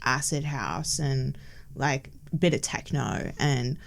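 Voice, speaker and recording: A woman's voice: rumbling low, slow pace, gravelly. A young woman from Hong Kong, raised in Melbourne, chatting casually as a podcast guest and filling her talk with "like". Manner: chatty, many "like" fillers, laughs often. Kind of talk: casual interview chat.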